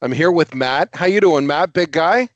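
A chatty middle-aged man co-hosts a relaxed podcast and pokes fun at himself. His voice sits a touch high and sounds dry and close. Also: normal speed, no room sound, lo-fi sound. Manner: chatty, self-mocking, laughs easily. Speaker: podcast co-host. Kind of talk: casual podcast chat. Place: home, two floors from family.

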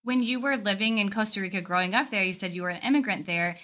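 Bright-voiced woman hosting a podcast. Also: mid-range voice, fast talker. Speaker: podcast host. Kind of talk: chatty podcast introduction and interviewing.

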